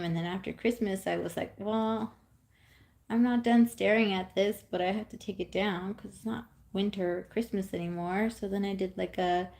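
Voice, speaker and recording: A woman's voice: medium pitch, a little mellow. A woman in her thirties from California, chatting casually on a live stream at home while she knits, rambling with many ums and small laughs.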